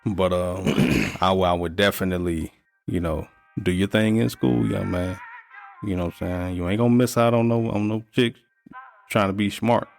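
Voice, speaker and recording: A man's voice: deep voice, normal speed, vocal fry, faint music behind. A man in his thirties co-hosting a podcast, chatting casually and giving blunt, profane life advice. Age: 30s. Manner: laid-back, profane, advice-giving storyteller. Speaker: podcast co-host. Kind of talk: casual chat.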